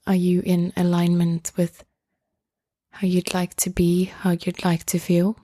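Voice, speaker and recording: A woman leads a guided meditation in a mellow, soft-edged voice that stays very even in pitch. She speaks gently and very slowly, leaving long pauses between phrases. The sound is close and dry. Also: dry recording. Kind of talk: guided meditation, slow and soothing.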